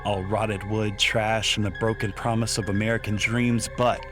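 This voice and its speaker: Man as ominous lowish trailer narrator, loud music. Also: level tone, moderate pace, natural voice. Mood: ominous, portentous. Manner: florid, portentous. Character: ominous, theatrical trailer narrator of cosmic horror. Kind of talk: horror podcast trailer voice-over.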